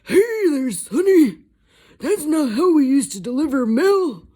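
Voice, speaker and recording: A woman's voice: high-pitched, sing-song, a little slow, warm tone, pitched above the performer's own voice. A woman voicing a crotchety old male mail carrier calling out. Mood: cranky, hollering.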